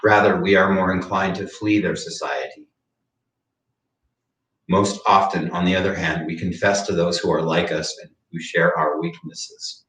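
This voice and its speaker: A man's voice: low voice, fairly even intonation, normal speed, fairly bright tone. A man playing a pretentious, theatrical man with a grandiose delivery in a staged play reading. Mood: pompous, reflective. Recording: echoey, noisy room, far from mic.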